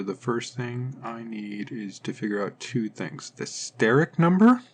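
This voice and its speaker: A man's voice: on the low side, creaky. A man teaching chemistry in an informal, step-by-step lecture style, methodically thinking aloud as he works through things.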